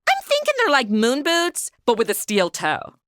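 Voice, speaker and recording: A woman's voice: high voice, wildly swooping pitch, normal speed, fairly bright tone, a little hiss or hum, full character voice. A woman playing a sarcastic wisecracking young woman. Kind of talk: comedy audio drama acting.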